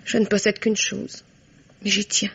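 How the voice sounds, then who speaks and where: An actress playing a broke, self-mocking dancer in a French radio mystery, frank and rueful, with a breathy, creaky voice. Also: female voice, medium pitch, level tone, a little slow, fairly bright tone, exaggerated voice. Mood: defensive, weary. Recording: dull old radio recording.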